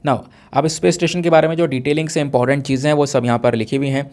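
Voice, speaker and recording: A man's voice: medium pitch, fairly even intonation, rapid-fire, darkish tone. Man teaching, with a sore throat. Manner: explanatory, poses questions and answers them, code-mixes English terms. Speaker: exam-prep teacher. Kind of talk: current affairs lecture, conversational.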